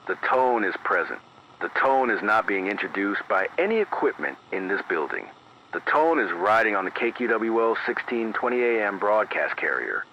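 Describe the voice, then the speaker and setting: Male actor as grave emergency radio announcer for analog horror drama: low, forceful, formal and deliberate, heard over dull AM radio. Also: very animated, exaggerated voice. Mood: grave, measured. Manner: measured, formal, deliberate, precise. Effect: AM radio filter. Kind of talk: scripted analog horror emergency news bulletin. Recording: dull band-limited AM radio, faint noise.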